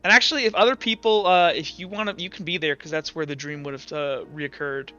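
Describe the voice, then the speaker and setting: A man's voice: on the high side, steady tone, brisk, bright, forceful tone, clean tone. A man running an online tabletop roleplaying game as game master, chatting and explaining things informally. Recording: roomy echo, dull lo-fi sound. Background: music.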